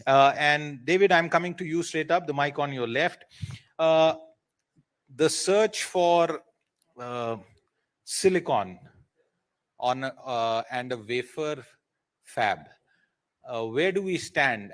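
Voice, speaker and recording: An Indian-accented man moderates a live conference panel. He speaks haltingly at a middle pitch. He frames his remarks as questions to audience and guests. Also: fairly bright tone.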